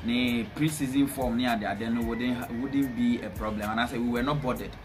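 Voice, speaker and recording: A young Ghanaian man giving casual football punditry, speaking in a halting way and often repeating words. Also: mid-range voice, steady tone. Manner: halting, repetitive. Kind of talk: casual sports punditry, mixing English with a local language. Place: small bare indoor room, seated at a table. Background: music.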